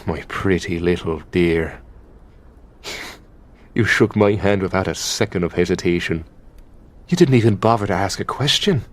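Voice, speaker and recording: Guy doing an irish lust demon, super low and slow, bossy and teasing, asmr roleplay style. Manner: commanding, foul-mouthed, taunting, drawn-out delivery. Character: possessive, menacing, teasing male high demon of lust. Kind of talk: ASMR roleplay, intimate scripted monologue.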